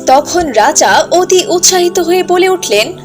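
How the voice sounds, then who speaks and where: A woman's voice: extremely high pitch, animated, a little slow, music in the background. A woman giving scripted storybook narration as the narrator of a tale.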